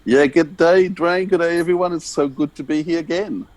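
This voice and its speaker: A man's voice: on the high side, normal speed, darkish tone, dry recording, rough recording. A man with an Australian accent co-hosting a podcast in a casual, conversational interviewing style.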